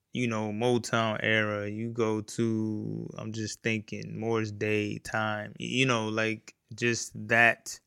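A man's voice: fairly low pitch, creaky, bright, forceful tone, smooth, no echo, studio quality. A man in his forties hosting a solo podcast as a music producer, talking in a rambling, easygoing way with lots of fillers and restarts. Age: 40s. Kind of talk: casual solo podcast talk.

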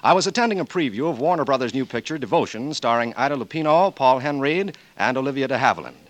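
A man's voice: mid-range voice, lively intonation, fast, no room sound. A male announcer delivering scripted 1940s radio commercials in a polished, emphatic salesman's manner.

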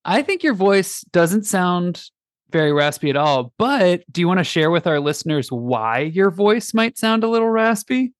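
A man's voice: high-pitched, big pitch swings, normal speed, no room sound, clean studio sound. A man hosting a casual sports podcast, playful and jokey as he introduces his co-hosts. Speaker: podcast host.

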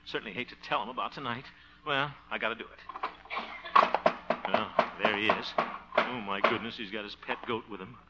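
An actor playing a blustery, apologetic bachelor who flusters and stammers easily, in 1950s radio sitcom style. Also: male voice, lowish voice, normal speed, long pauses, heavy background noise, close to an everyday voice. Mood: regretful. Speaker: lead actor of a radio comedy series. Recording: muffled old radio broadcast.

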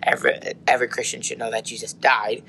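Bright-voiced, slightly hoarse man. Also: mid-range voice, little pitch movement, fast talker, slight background noise. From United States. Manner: rambling, lots of restarts and "um"s. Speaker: podcast co-host. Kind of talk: casual faith podcast chat.

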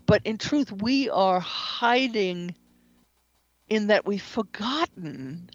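A woman speaking as an interview guest, explaining spiritual ideas in an earnest, measured way. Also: medium pitch, expressive, no echo, lo-fi sound. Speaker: interview guest, shamanic practitioner and author.

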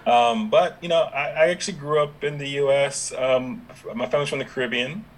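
A young adult man with no regional accent, chatting relaxed with many fillers as a podcast guest. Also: mid-range voice, fairly quick, rough recording. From Dominica, raised in Houston, Texas. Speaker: podcast guest, app founder. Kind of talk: casual interview conversation.

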